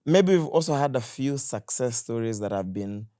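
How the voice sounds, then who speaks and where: A man's voice: mid-range voice, animated, normal speed, dark tone, clear, resonant tone, no room sound, clean studio sound. Kenyan man chatting on a podcast. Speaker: podcast guest, content creator. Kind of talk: casual podcast conversation.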